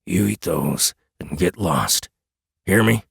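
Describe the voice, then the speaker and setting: A man narrator acts out a gruff, irritable survivalist in a fantasy audiobook. The voice is low and muttering, with curt delivery. The sound is dry. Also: lively intonation, takes it slowly, no room sound, exaggerated voice. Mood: gruff warning.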